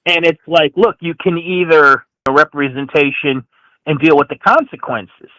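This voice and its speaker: Bright, forceful male talk show caller. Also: on the high side, normal speed, dry recording, lo-fi sound. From St. Louis, United States. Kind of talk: opinionated casual political talk. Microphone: phone call.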